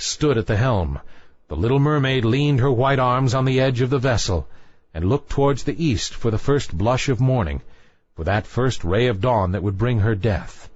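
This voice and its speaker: A man reading a fairy tale as a storyteller, in a measured literary style. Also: medium pitch, dry recording, muffled recording. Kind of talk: scripted fairy tale narration.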